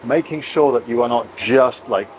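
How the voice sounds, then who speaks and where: A man's voice: medium pitch, moderate pace, crowd noise, low-quality recording. A middle-aged man giving a chatty, admiring walkthrough of his catamaran being built, exclaiming over the details. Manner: enthusiastic, admiring, chatty with frequent oohs. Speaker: boat owner touring his catamaran build. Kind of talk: casual walkthrough vlog. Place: inside a catamaran under construction at a boatyard.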